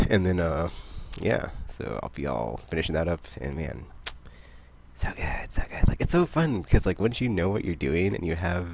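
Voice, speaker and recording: A man hosting a podcast, chatting about games in a rambling, excitable way. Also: low voice, moderate pace, vocal fry, slight background noise. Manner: rambling, excitable, laughs often. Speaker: podcast host. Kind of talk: casual gaming chat.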